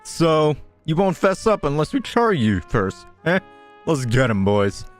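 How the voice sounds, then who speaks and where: A man voicing a cocky, threatening bandit ringleader from a game, with a rough, taunting manner. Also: a little high, big pitch swings, measured pace, soft background music, voice pushed up. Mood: menacing.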